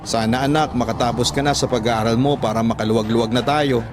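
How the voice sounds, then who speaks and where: A man's voice: medium pitch, steady tone, fast, lightly acted. A man with a Filipino accent voicing a worried, hesitant mother from the province in a radio drama. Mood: sad, pleading. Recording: background music under the voice.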